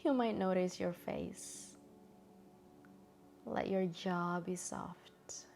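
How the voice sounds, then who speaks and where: A woman guiding a meditation in a gentle, soothing, soft-spoken way with long pauses. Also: on the low side, fairly even intonation, creaky. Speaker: meditation guide. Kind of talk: guided meditation narration.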